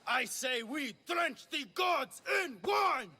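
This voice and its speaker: A pompous man of the stage for a game cutscene, with a high, bright voice. He declaims floridly and swoops across his range, vain and theatrical. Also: animated, takes it slowly, full character voice. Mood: declaiming. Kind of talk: video game cutscene acting.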